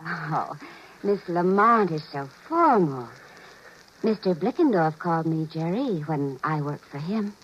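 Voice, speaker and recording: A coy, flirtatious secretary. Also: female voice, sits low, expressive, unhurried. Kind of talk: 1950s radio drama acting. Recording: muffled vintage radio broadcast with faint hiss.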